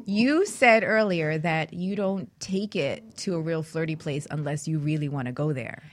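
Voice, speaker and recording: A woman's voice: low-pitched, a little slow. A middle-aged woman hosting a talk show, chatting and telling stories casually and affectionately.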